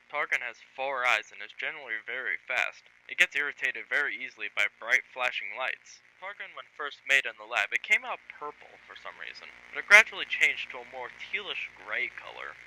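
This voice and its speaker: A man playing a matter-of-fact scientist who gives a measured, scripted instructional briefing in an amateur horror series. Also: medium pitch, very animated, pushed and bright, exaggerated voice, low-quality recording.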